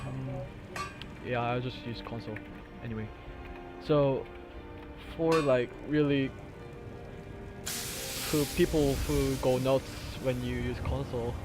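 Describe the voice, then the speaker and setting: A man from Korea with a Korean accent, casually talking through a video game glitch, halting and searching for words in English. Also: mid-range voice. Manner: halting, with fillers and restarts, laughs now and then. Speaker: gamer recording a tutorial. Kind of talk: casual gameplay commentary. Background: game music and sounds.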